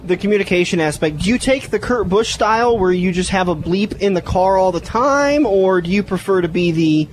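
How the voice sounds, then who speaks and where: High-voiced male sports radio host. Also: lively intonation, brisk, hardly any pauses, slight background noise, rough recording. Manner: chatty, joking, rambling. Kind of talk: casual sports radio talk with sponsor reads.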